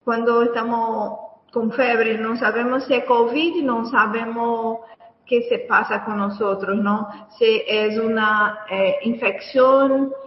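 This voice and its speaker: Woman with a Portuguese accent, halting speech, slow, echoey distant dull sound. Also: a little high, fairly even intonation. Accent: Brazilian Portuguese. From Brazil. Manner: halting, searching for words. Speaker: guest engineer. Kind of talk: radio interview answers.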